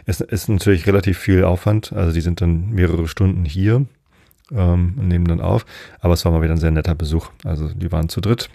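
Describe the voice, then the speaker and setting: A man doing A low, gravelly middle-aged man from Northern Germany, hosting a sleep podcast in a dry home studio. His voice is dark and husky, and he drifts hesitantly from thought to thought. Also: steady tone, a little fast, no room sound. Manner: meandering, hesitant, many fillers and digressions. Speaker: podcast host. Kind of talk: rambling sleep podcast monologue.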